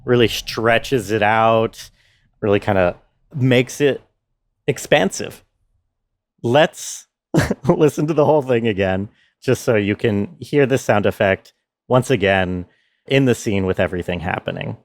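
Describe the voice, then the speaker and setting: A man's voice: on the low side, a little slow, long pauses, a little hiss or hum. A man presenting his sound design work in a casual, explanatory tutorial style.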